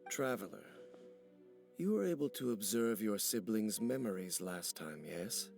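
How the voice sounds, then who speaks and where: A man playing a brooding, serious investigator in a video game, speaking in a formal, deliberate and grave way. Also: fairly low pitch, animated, vocal fry, darkish tone, soft background music. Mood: grave, analytical. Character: brooding, serious male investigator, measured and cryptic.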